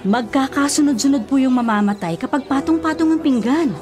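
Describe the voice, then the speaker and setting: A woman playing a bossy, superstitious older sister in a radio drama, scolding, insistent and quick to defend herself. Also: sits high, lightly acted. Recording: prominent music behind the voice. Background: music.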